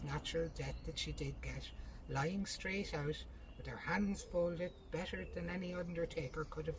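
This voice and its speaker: A woman reading as a brisk, practical sister. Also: low voice, noisy background, lightly acted. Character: practical, brisk Irish sister, bossy and consoling.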